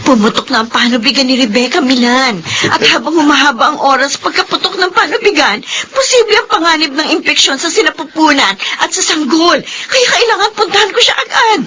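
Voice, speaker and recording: High, urgent Filipina birth attendant. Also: female voice, lively intonation, brisk, bright, forceful tone, slight background noise. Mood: urgent, resolute. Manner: urgent, firm. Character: resolute, worried female birth attendant. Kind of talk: Filipino radio drama acting.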